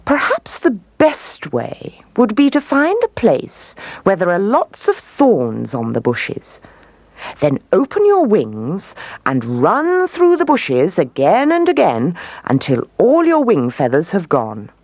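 A woman voicing a puzzled, pondering wise male owl for a children's storybook, musing and deliberate. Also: mid-range voice, huge pitch swings, darkish tone, no echo, pitched above the performer's own voice, exaggerated voice.

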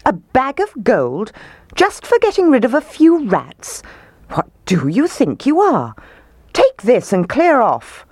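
A woman's voice: lowish voice, wildly swooping pitch, unhurried, creaky, hardly any pauses, no room sound, heavily acted. A woman voicing a greedy, silly old male mayor in a children's story, blustering and dismissive. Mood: gruff, indignant. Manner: roaring and dismissive, haggling.

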